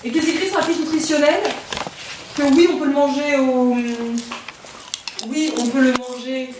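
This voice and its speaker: Young woman chiming in on a vlog, slightly high voice, far off in a super echoey room. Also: fairly even intonation, moderate pace, background noise. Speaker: vlogger. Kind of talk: casual vlog chat. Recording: echoey room, away from mic.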